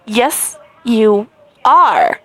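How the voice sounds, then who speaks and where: Woman voicing a hooded stranger, calm, hushed, slow, dry close sound. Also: fairly high pitch, dry recording, pitched below the performer's own voice, full character voice. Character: mysterious hooded young man, calm and eerie.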